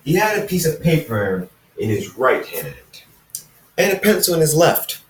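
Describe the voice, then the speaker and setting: A man reading a story aloud informally, halting and casual, with dramatic pauses and asides to listeners. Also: on the high side, sing-song. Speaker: fan fiction narrator reading own recording. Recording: roomy, echoey, distant microphone.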